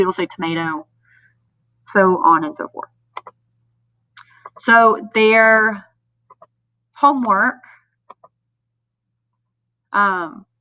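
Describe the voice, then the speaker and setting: A woman's voice: medium pitch, bright, forceful tone, no room sound, far from the mic. Nasal-sounding woman teacher with a cold. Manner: patient step-by-step explaining with frequent ums. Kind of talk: homework explainer video for parents. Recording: dull lo-fi sound a bit off mic.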